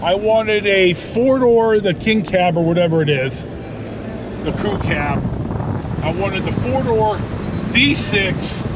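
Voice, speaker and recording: A man telling a casual, rambling vlog story in a plain-spoken, unpolished way. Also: high voice. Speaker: vlogger. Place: inside a moving car and outside on a car lot. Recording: noisy vehicle and outdoor background. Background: car and road noise.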